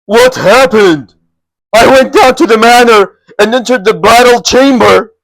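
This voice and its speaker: A man's voice: very high-pitched, unhurried, fairly bright tone, no room sound, voice pushed up, full character voice, rough recording. A man doing a frantic beaten bandit lieutenant. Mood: pleading. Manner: desperate, pleading.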